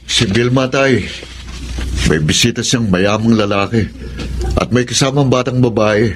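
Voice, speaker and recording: A man's voice: medium pitch, measured pace, noisy background, natural voice. A male actor playing a menacing, obsessive drunkard in a radio drama, with a leering, drawling delivery. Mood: sullen, jealous. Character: menacing, obsessive drunkard son lusting after his neighbour.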